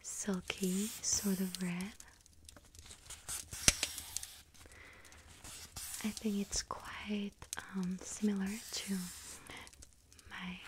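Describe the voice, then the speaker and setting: A woman playing a friendly, scatterbrained young witch serving a bakery customer in an ASMR roleplay, hesitant, apologetic and gently chatty. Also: medium pitch, background noise. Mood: apologetic, helpful. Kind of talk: ASMR roleplay, soft whispering.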